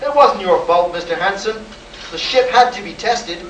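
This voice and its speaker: Guy as loyal earnest flight control deputy in a radio play, high voice, echoey. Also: normal speed, slight background noise, lightly acted. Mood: consoling. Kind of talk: amateur live radio play acting. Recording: distant, echoey live hall recording.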